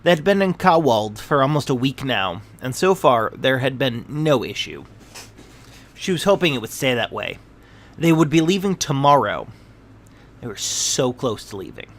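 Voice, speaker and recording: A man's voice: medium pitch, sing-song, normal speed. A man reading a scripted fantasy tale aloud as its narrator.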